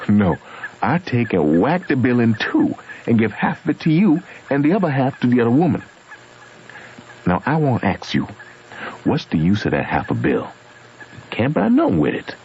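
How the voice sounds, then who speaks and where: A man's voice: on the low side, a little mellow. A man playing a stubborn, homespun Southern man in an old radio drama, arguing his own logic in an emphatic, folksy way with a Southern US accent. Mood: indignant reasoning. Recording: muffled, noisy vintage radio recording.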